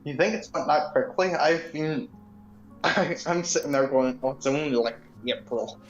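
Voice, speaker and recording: A man's voice: on the high side, a little slow, dull audio, soft background music. A man in his twenties in casual conversation, laid-back with short replies. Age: 20s. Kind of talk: casual chat.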